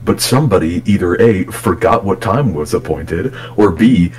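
Low, very animated young man. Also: moderate pace, rough recording. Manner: wry, joking. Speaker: podcast guest. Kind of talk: casual group chat.

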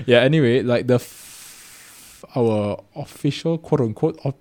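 A man's voice: mid-range voice, measured pace, a little mellow. A man with a Singaporean accent co-hosting a casual podcast, rambling and often correcting himself as he goes. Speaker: podcast co-host.

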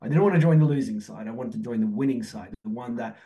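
A man from Turkey, raised in Australia, speaks as an interview guest. His voice sits at a medium pitch. He is reflective and conversational, often repeating a phrase for emphasis. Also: fast, warm tone. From born in Turkey, grew up in Australia. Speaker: podcast guest, economics expert.

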